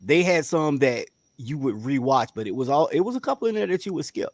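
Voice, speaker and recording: A man's voice: a little high, big pitch swings, fairly quick, dry recording. A man co-hosting a casual debate, arguing bluntly and insistently and repeating himself for emphasis. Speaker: panel show co-host.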